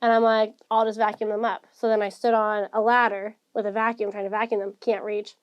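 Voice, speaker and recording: A woman's voice: medium pitch, flat delivery, fairly quick, smooth, muffled recording. A young woman co-hosting a podcast, telling rambling, self-deprecating stories in casual chat. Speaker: podcast co-host.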